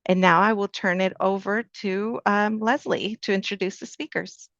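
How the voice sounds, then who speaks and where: Brief: woman moderator, gravelly voice, dull dry lo-fi audio, reads instructions. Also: mid-range voice, fairly even intonation, normal speed, fairly bright tone, dry recording. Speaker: webinar moderator. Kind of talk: housekeeping announcements.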